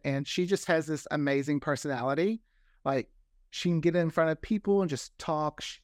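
A man with a slightly higher-pitched voice talks freely as a guest in a relaxed interview. He is chatty and likes to repeat words to stress them. The recording is very dry, with no room sound. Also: dry recording. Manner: talkative, repeats words for emphasis. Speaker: interview guest, fiction podcast creator. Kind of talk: casual interview chat.

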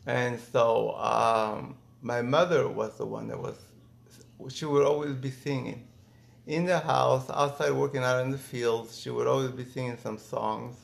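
A middle-aged man from Mexico reminiscing casually in an interview, thinking aloud in halting phrases with false starts. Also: mid-range voice, steady tone, gravelly. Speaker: interviewee, former migrant worker.

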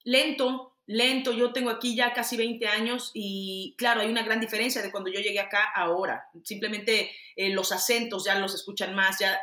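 A woman's voice: medium pitch, level tone, normal speed, hardly any pauses, pushed and bright, echoey room. A middle-aged Mexican woman, an actress and producer, answering interview questions candidly and emphatically, with frequent restarts.

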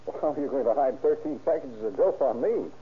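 A man's voice: medium pitch, normal speed, breathy edge. An actor playing a wry, colloquial undercover man in an old radio drama. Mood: wry, uneasy. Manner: wisecracking, colloquial. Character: wry, breezy male undercover private secretary. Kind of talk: 1930s radio drama acting. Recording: tinny, hissy old radio recording.

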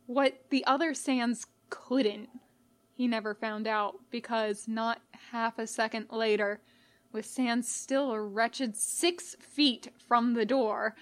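A woman narrating scripted fiction in a measured, dramatic way with pauses. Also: a little high, steady tone. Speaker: podfic reader.

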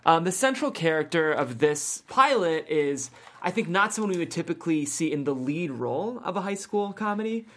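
A woman's voice: lowish voice, expressive, moderate pace, a bit bright. A woman hosting a script table read and reading out the stage directions.

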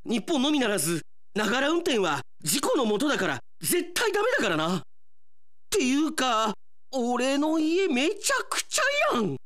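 A man playing a brash, lazy young man in a comic radio drama, with loud, blunt, slangy retorts. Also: very high-pitched, wildly swooping pitch, normal speed, pitched above the performer's own voice, full character voice. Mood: outraged.

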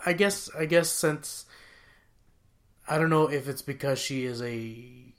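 A man's voice: medium pitch, a little slow, fairly bright tone. A man co-hosting a casual chat podcast, often restarting his sentences and searching for the right words. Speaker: podcast co-host. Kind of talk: casual chat counting down songs.

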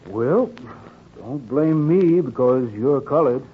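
Evasive homeowner, tinny radio sound. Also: male voice, mid-range voice, lively intonation, slow pace. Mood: uneasy, defensive. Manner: hesitant, defensive. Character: apologetic, evasive prejudiced male homeowner. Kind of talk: 1940s radio acting. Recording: tinny, hissy 1940s radio recording.

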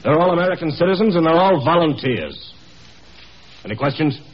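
An actor playing a stern, curt army colonel with commanding authority in a 1950s radio drama. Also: male voice, a little high, animated, brisk, breathy edge. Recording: muffled old 1950s radio broadcast.

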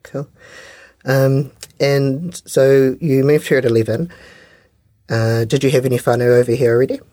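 A man asks prepared interview questions in a mid-range voice. The sound is close and dry, and his phrasing is careful and measured. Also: medium pitch, little pitch movement, dark tone, no room sound. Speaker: interviewer, podcast host.

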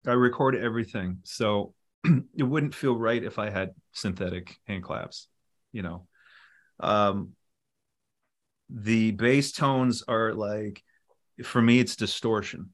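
A middle-aged man talking casually in an interview, relaxed and rambling, with many fillers and restarts. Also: fairly low pitch, slow pace. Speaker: musician and producer guest.

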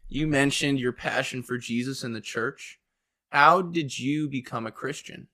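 A man's voice: mid-range voice, unhurried, sharp tone. A man with an American accent hosting a podcast and interviewing in a casual, chatty way.

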